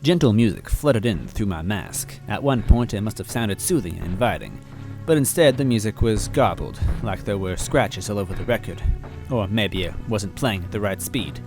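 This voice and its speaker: A man narrating a story in first person in a wry, measured way. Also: fairly low pitch, animated, over music. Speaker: story reader.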